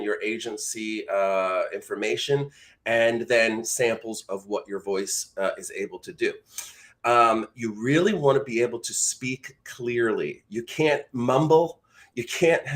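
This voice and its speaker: A man's voice: medium pitch, fairly bright tone. A man speaking as a coach and host, giving informal, explanatory instruction in a conversational way. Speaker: voice-over coach and live show host.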